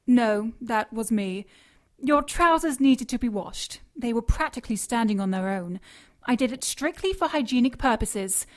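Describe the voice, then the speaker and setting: A woman playing a prim, flustered caretaker in an audio drama, brisk and defensive in manner. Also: on the high side, expressive, close to an everyday voice.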